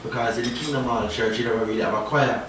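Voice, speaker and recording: A man's voice: medium pitch, steady tone, quick pace, fairly bright tone. A Jamaican man talking through his story in a rambling, easygoing way, often adding "you know?". His voice sits far from the mic in a very echoey room, with a faint hiss of noise behind it. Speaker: reggae artist guest. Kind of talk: casual interview talk.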